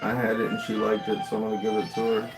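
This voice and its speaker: A man's voice: on the low side, completely flat delivery, rapid-fire. A man in his late thirties, chatting casually and rambling on a livestream while he waits for food in a restaurant, reacting to what viewers write. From United States. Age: late 30s. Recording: faint classical music behind.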